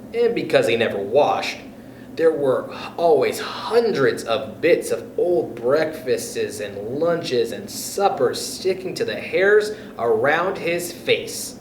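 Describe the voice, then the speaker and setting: A man hosting storytime, reading a children's book expressively and speaking directly to young listeners. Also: mid-range voice. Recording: echoey room with background noise.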